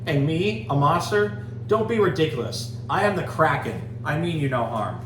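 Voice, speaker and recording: A man's voice: on the high side, animated, normal speed, close to an everyday voice. A man reading a picture book as an emphatic, indignant but kind female kraken. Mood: indignant, kindly. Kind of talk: picture-book read-aloud. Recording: roomy, distant mic, background noise.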